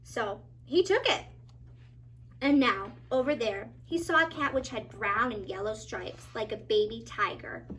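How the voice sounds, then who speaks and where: A woman narrating a children's storybook as a teacher, with expressive, dramatic emphasis. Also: on the high side, unhurried.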